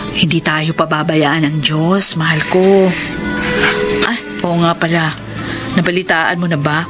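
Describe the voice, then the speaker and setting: Casting: devoted Filipina wife in a sentimental radio drama, low female register, earnest and hopeful, music bed. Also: steady tone, a little slow. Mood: caring, affectionate. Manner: earnest, tender, sentimental delivery. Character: devoted, hopeful wife living with HIV, caring for her husband. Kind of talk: Filipino radio drama acting and first-person letter narration.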